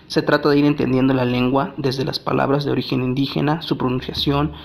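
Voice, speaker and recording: A man from Mexico whose first language is Nahuatl, reading a language lesson in a measured, deliberate way. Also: medium pitch, flat delivery, vocal fry. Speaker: language teacher.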